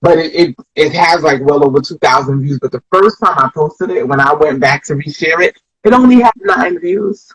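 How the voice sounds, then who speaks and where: Woman, American accent, husky voice, rambling storyteller, casual interview guest. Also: low voice, moderate pace, hardly any pauses, low-quality recording. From Flatbush, Brooklyn, New York. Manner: rambling, reflective, frequent restarts and "you know".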